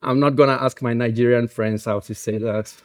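A man with a Ghanaian English accent, preaching a sermon in a relaxed, joking manner with frequent fillers. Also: mid-range voice, a little fast. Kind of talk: conversational sermon.

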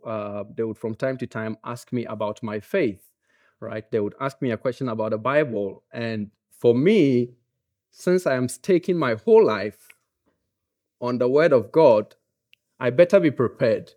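Guy with a ghanaian english accent giving a laid-back sermon, jokes around, lots of ums. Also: mid-range voice. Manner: relaxed, joking, with frequent fillers. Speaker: preacher. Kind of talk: conversational sermon.

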